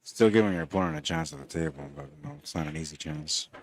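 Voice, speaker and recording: A man giving relaxed, conversational live commentary on a pool match, talking quietly and low. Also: quick pace.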